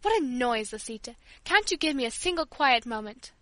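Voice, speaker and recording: A woman voicing a sharp-tongued invalid older sister. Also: high-pitched, animated, moderate pace, no echo. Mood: irritable. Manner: sharp, irritable, scolding. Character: bitter invalid teenage girl, sharp-tongued. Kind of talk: audiobook character voice.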